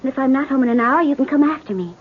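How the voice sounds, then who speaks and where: An actress playing a willful stage actress who grows frightened, in an old radio drama. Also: female voice, sits high, lively intonation, rapid-fire, background noise. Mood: headstrong. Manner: headstrong, rising to terror. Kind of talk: 1970s radio drama acting. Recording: dull old radio broadcast.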